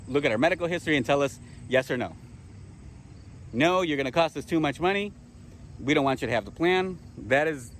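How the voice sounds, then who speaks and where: A man's voice: on the high side, fairly bright tone, slight background noise. A man teaching fellow insurance agents in a casual spoken lesson, repeating key terms and checking in with "okay?" as he self-corrects and restarts. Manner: repeats himself, self-corrects, rhetorical questions.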